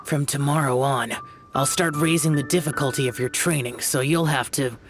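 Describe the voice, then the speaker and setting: A man's voice: medium pitch, fairly even intonation, moderate pace, lightly acted. A stern, aloof young male mentor, acted by a man in a video game. He instructs in a cool, curt way. Faint music plays behind his voice.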